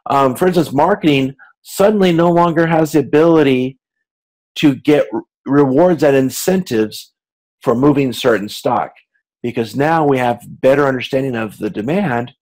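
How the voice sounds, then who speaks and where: A man's voice: medium pitch, moderate pace, darkish tone, low-quality recording. A man lecturing in a conversational, explanatory way, speaking directly to listeners with hesitations, repeated words and self-corrections. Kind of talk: informal lecture on business.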